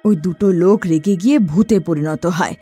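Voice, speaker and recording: A woman narrating a scripted cartoon horror story in an expressive storytelling manner. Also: fairly low pitch, normal speed, slightly rough, soft background music.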